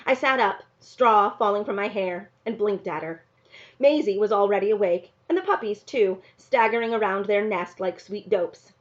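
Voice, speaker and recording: A woman reading a novel aloud in an expressive storybook narration style. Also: mid-range voice, normal speed, muffled recording.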